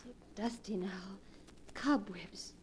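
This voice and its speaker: An actress playing an uneasy young wife who is easily shocked, speaking in a breathy, pleading way in a 1940s radio drama. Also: female voice, medium pitch, slow pace, a little mellow, a little hiss or hum, close to an everyday voice. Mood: anxious. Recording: old radio broadcast recording.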